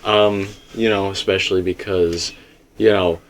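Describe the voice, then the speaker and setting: A man's voice: low voice, fairly even intonation, moderate pace, slight background noise. A man hosting a casual fan discussion, explaining things informally and speaking straight to the viewers. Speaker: host of a fan theory discussion.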